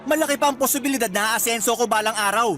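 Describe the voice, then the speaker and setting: Cocky, high-voiced younger brother. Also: male voice, very fast, bright, forceful tone. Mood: taunting anger. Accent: Filipino. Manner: cocky, scornful, taunting. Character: arrogant, mocking younger brother in his twenties, fresh graduate. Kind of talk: Filipino radio drama acting. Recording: music bed under the voice.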